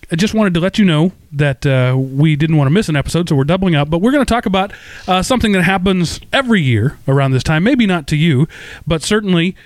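A man's voice: fairly high pitch, no room sound. A man hosting a casual tech podcast, chatty and self-deprecating, with lots of fillers and restarts. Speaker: podcast host.

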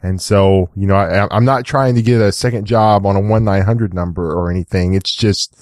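Guy w a kinda low slightly hoarse voice, dry close mic, rambling casual podcast chat. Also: steady tone, normal speed, a little mellow, dry recording. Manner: rambling, frequent "like" and "you know". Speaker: co-host of a tech chat show. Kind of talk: casual conversational storytelling.

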